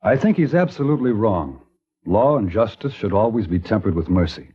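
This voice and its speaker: A kindly small-town minister weighs his words carefully. His voice is low and husky but lively in pitch. It comes through a muffled, lo-fi old radio broadcast. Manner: measured, reflective. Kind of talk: 1950s radio acting.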